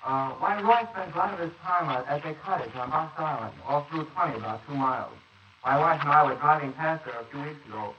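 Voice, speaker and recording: A man's voice: fairly high pitch, brisk, muffled recording, natural voice. Brief: chatty, rambling neighbor witness, played by a man, distant roomy hissy wire recording. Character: rambling, talkative middle-aged neighbor witness. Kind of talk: 1940s radio acting.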